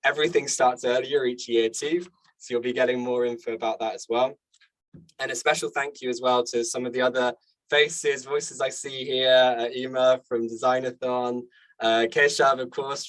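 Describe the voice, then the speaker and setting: A man's voice: medium pitch, little pitch movement, moderate pace, fairly bright tone, rough recording. Man hosting an online community event. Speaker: event host. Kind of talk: informal online event presenting.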